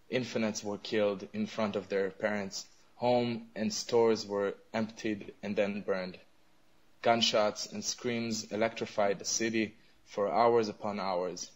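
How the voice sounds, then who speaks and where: Hesitant low-voiced man, Arabic native. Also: a little hiss or hum, low-quality recording. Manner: hesitant, many fillers and restarts. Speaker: interview guest. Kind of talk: conversational interview answers.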